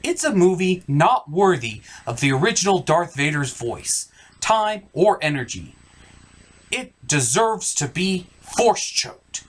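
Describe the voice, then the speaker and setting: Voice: mid-range voice, sing-song, slow. A reviewer delivering a scripted comedic review in a sardonic, emphatic way, as the host of the show.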